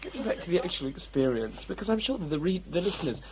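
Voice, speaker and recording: A man's voice: sits high, sing-song, fast talker, darkish tone, slight background noise, poor audio. A man interviewing casually, with a teasing, bantering manner.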